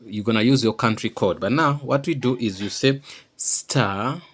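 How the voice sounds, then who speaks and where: A man giving an informal, unscripted how-to demonstration, halting and repeating himself as he explains each step. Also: medium pitch, slightly rough. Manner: halting and repetitive, often checking understanding. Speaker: tutorial presenter.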